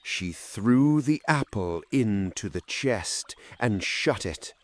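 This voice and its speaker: Slow, expressive male storyteller. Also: fairly low pitch, dry recording. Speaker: audiobook narrator. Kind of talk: dramatic fairy tale narration.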